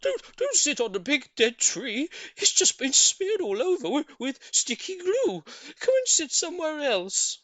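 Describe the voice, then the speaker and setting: A man voicing an excitable, urgent monkey father in a children's audiobook, exclaiming and stammering. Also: very high voice, a little slow, few pauses, no room sound, voice pushed up, exaggerated voice. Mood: urgent warning. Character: frantic excitable African monkey father in a cage.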